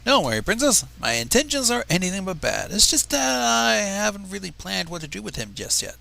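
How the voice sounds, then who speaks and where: A confident young female unicorn student, acted by a man reading fanfiction, speaks earnestly and reassuringly. The delivery is animated, in a bright voice with some creak. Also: high-pitched, brisk, full character voice.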